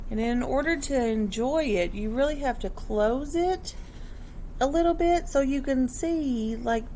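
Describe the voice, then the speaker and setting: A woman's voice: medium pitch, slight background noise. A woman teacher reading a picture book aloud to children, expressively, with instructive asides and questions for young listeners.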